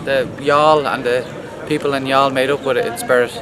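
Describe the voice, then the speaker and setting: A man's voice: medium pitch, fairly even intonation, fairly quick. Irish male triathlete, upbeat, repeating his praise, recorded over a noisy crowd. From Ireland. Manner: enthusiastic, repetitive praise. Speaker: race participant. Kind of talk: street interview. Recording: loud crowd behind.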